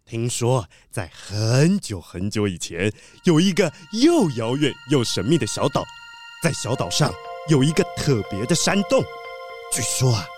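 Man as excitable treasure collector, children's audio drama, polite, dramatic storyteller, swooping intonation, music underneath. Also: mid-range voice.

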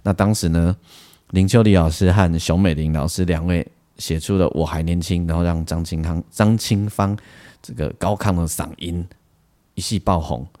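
A man's voice: sits low, fairly even intonation, moderate pace, dark tone. A man with a Taiwanese Mandarin accent hosting a casual solo music show, talking in a rambling way with frequent particles and asides. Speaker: music podcast host.

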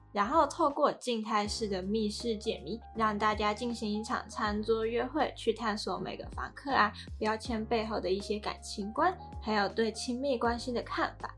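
A woman's voice: on the high side, a bit bright. A woman with a Taiwanese accent presenting a podcast in a conversational style as co-host.

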